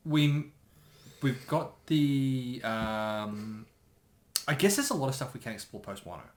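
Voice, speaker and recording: A man co-hosting a casual reaction chat, speculating and thinking aloud in a halting way. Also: medium pitch, lively intonation, darkish tone. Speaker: co-host, anime specialist. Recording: roomy, echoey sound.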